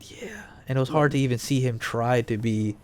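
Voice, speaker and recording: A man's voice: mid-range voice, normal speed, a little mellow, clean tone. A man co-hosting a casual review podcast, talking in a playful, slangy way. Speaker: podcast co-host, movie reviewer. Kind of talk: casual joking chat.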